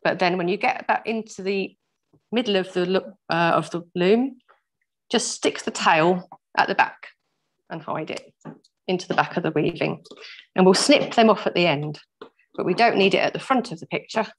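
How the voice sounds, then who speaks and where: A woman with a croaky voice teaching a weaving workshop over a video call, patiently explaining each step and laughing off her own mistakes. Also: on the low side, normal speed. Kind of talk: step-by-step craft demonstration. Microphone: laptop mic over video call.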